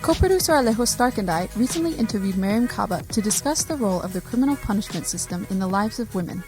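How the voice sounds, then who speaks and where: Woman radio host reading her script, pretty fast, bit of music in the back. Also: mid-range voice, animated. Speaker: radio show host and narrator.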